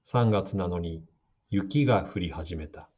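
A man giving slow, calm, scripted narration for a radio drama meant for learners. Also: low-pitched, breathy edge. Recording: dull lo-fi audio.

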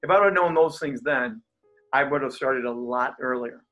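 A man's voice: medium pitch, normal speed, soft background music, rough recording. A man who is a pastor and coach, speaking as an interview guest with energy and easy laughter, who has a stutter. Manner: energetic, animated, laughs easily. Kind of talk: interview guest, casual talk.

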